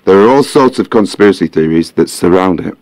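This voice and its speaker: Male actor as measured pundit; deep, calm, dry close sound. Audio drama. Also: no echo. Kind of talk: podcast-style audio drama dialogue.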